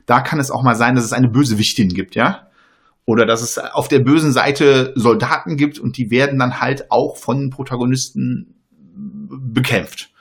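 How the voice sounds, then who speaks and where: A man's voice: mid-range voice, fairly even intonation, normal speed, gravelly. A man who stutters, speaking in a casual panel discussion, with many fillers and restarts.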